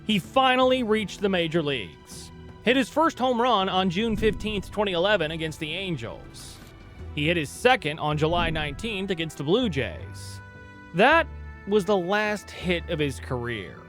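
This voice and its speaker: A man narrates a sports documentary in a slightly high voice. He reads a scripted story with dramatic stress on key moments. Soft music plays quietly behind him.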